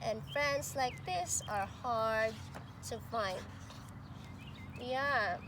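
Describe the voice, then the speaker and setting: Woman, pre-K storytime reader: high voice, expressive, pauses for questions to little listeners, noisy amateur recording. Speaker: pre-K teacher reading aloud to children. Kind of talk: expressive picture-book read-aloud with asides.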